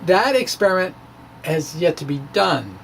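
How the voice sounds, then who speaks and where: A middle-aged physics blogger gives an informal dramatic reading, halting and emphatic, with trailing asides. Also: medium pitch, very animated, gravelly. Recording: background noise, ambient sound.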